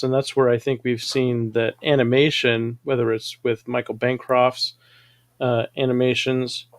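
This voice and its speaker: Male co-host, mid pitch, dry close mic, reasoned and agreeable. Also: dry recording. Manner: backchannels often, reasoned. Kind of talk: casual discussion.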